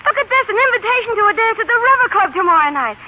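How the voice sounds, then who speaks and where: Brassy young wife, slangy and defiant, very high lively voice, vintage radio play with thin, noisy sound. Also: female voice, rapid-fire. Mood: excited, pleading. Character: restless, brassy young working-class wife and new mother. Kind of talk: 1930s radio acting. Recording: noisy, tinny 1930s radio broadcast.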